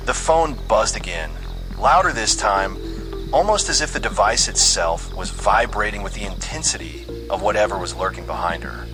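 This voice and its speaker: A man's voice: lowish voice, lively intonation, normal speed, low-quality recording. A man reading a scripted horror story with dramatic, suspenseful delivery. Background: music under the voice.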